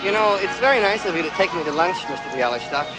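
An actor playing a timid, neurotic young accountant with prim, fussy phrasing and easily panicked delivery in a broad film comedy. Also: male voice, high voice, expressive, fast, over loud music, rough recording. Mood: calmer, shy. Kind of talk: broad comic film acting.

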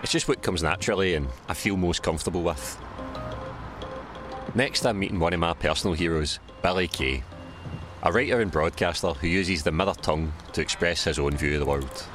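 A Scottish man with a Glaswegian accent presents and interviews in an easygoing, plainspoken manner. His voice sits very low. Clear music plays beneath him. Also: fairly quick. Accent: Glaswegian Scots. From Scotland. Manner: casual, plainspoken, often says aye. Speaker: documentary presenter. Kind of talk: documentary narration and interview.

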